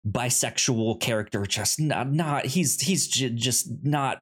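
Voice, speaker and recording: Slow, halting man chatting about film. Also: medium pitch, slightly rough. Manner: halting, stammering, repeating words. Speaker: podcast co-host. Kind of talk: casual film discussion.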